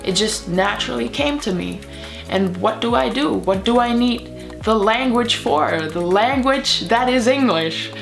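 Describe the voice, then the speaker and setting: A woman's voice: on the high side, lively intonation, a little slow, creaky, soft background music. A woman from Germany, German her first language, speaking English in an earnest, encouraging motivational vlog. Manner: earnest, encouraging, with soft laughs. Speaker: video creator.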